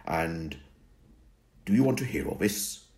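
A man voicing an ancient, weary male vampire mentor who talks slowly and formally. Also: deep voice, sing-song, darkish tone. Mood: dry. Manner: slow, formal, dry.

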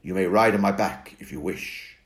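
A man doing a slow, formal old vampire. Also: sits low, voice pushed up, natural voice. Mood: gloomy. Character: ancient weary male vampire mentor.

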